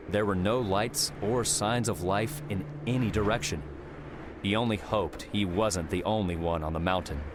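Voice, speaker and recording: A man's voice: lowish voice, background noise. A man reading scripted adventure story narration as an audiobook narrator. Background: background music.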